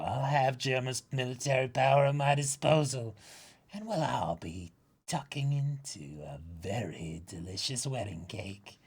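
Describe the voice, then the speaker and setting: A man voicing a huge, menacing pirate queen mother, slow and dropping to a whisper. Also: mid-range voice, slightly rough.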